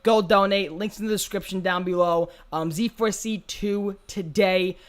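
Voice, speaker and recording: A man's voice: sits high, fairly even intonation, normal speed, clear, resonant tone. A man hosting a podcast in casual chat, swearing freely and often repeating words and phrases as he talks. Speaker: podcast host.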